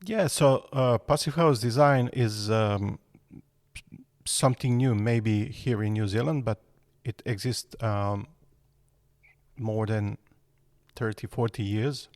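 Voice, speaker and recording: A man's voice: fairly low pitch, slow, a little mellow, dry recording, studio quality. A man, answering podcast interview questions hesitantly with many fillers. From Serbia. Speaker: guest architect.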